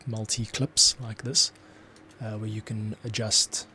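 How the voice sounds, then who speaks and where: A man's voice: fairly low pitch, flat delivery, slow, bright, forceful tone, slight background noise. A man, a composer, talking through his scoring process as he works, thinking aloud. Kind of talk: thinking aloud tutorial commentary.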